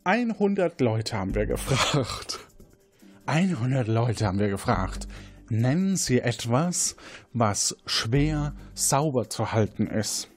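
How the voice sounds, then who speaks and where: A man hosts an audio quiz game. His delivery takes its time while the pitch moves around a lot. Faint music sits behind him. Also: very animated, slow. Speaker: game show host.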